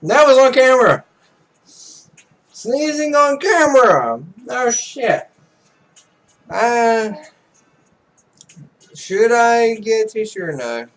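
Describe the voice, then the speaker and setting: A man's voice: extremely high pitch, sing-song, slow pace, long pauses, fairly bright tone. A man hosting a let's play, casually reading visual novel text aloud and commenting, often stumbling over the words.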